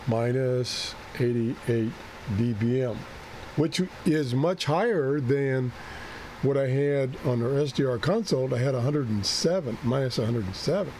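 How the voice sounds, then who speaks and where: A man who is losing his voice and keeps clearing his throat talks through a radio setup, thinking aloud and correcting himself as he goes. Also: medium pitch, a little slow, darkish tone, a little hiss or hum, poor audio. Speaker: ham radio video host. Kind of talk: unscripted screen-share walkthrough.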